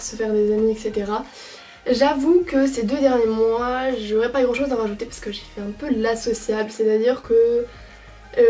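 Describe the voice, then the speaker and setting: A woman's voice: on the high side, darkish tone, distant mic. Hesitant young French woman vlogging. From France. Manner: hesitant, many fillers and restarts. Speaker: au pair vlogger. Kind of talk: casual reflective monologue.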